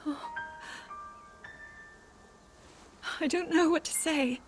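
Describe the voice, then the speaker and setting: A woman's voice: sits high, a little fast, close to an everyday voice. A woman voicing an earnest, anxious and hesitant young princess in a video game cutscene. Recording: music behind the voice.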